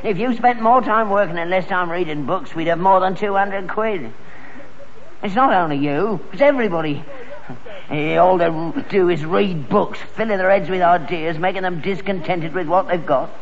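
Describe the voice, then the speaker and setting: A man's voice: high-pitched, normal speed. An actor playing a stubborn old junk dealer, a grumbling London father in a British sitcom. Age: older. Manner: querulous, grumbling. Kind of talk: 1960s British sitcom acting. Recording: dull, muffled old sitcom recording.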